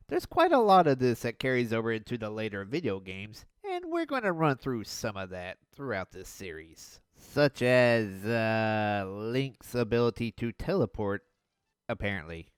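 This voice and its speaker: A young man reviewing an old cartoon in a sarcastic, mocking voice-over with deadpan jabs. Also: mid-range voice, moderate pace, clean tone, no echo, studio quality.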